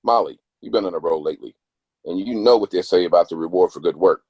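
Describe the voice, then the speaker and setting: Casting: actor for husky male boss, businesslike authority, dry and dull-sounding recording, table-read drama. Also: low voice, fast, darkish tone, dry recording. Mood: brisk, authoritative. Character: businesslike male law-firm boss, in command.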